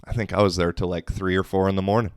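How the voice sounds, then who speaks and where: Young male podcast host, low-voiced and dry-miked, loose profane storyteller. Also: little pitch movement, fast, clear, resonant tone, no room sound. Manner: loose, profane, rambling with many fillers. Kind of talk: casual storytelling monologue.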